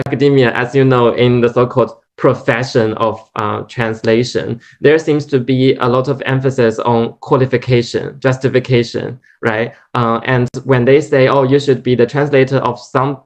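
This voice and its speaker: A man whose first language is Chinese, asking a long, hesitant academic question at a lecture, full of restarts. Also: mid-range voice. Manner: hesitant, many restarts and fillers. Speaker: audience member asking questions. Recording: dull lo-fi recording.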